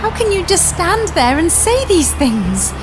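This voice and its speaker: Woman playing an earnest, high-voiced schoolgirl. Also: very animated, a little slow, heavily acted. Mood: indignant. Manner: earnest, anxious questioning. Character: earnest, indignant schoolgirl granddaughter. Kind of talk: audio drama acting. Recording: noisy backdrop with music.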